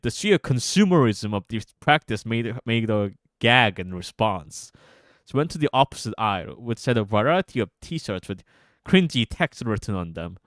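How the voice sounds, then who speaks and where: A man's voice: fairly low pitch, no echo, clean studio sound. A man streaming, reading narration from their own visual novel aloud with casual asides. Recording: music bed behind voice. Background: guitar music.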